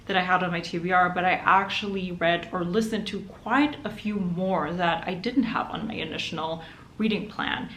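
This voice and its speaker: A woman's voice: lowish voice, fairly bright tone, roomy sound, a little hiss or hum, low-quality recording. A young adult woman whose first language is German, chatting upbeat in a reading vlog with light laughs. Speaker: book vlogger.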